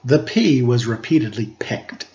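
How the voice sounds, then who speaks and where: A man reading a picture book aloud for storytime in a deliberate, theatrical way. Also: mid-range voice, expressive, mellow. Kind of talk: read-aloud storytime narration. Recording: dull lo-fi audio, faint background noise.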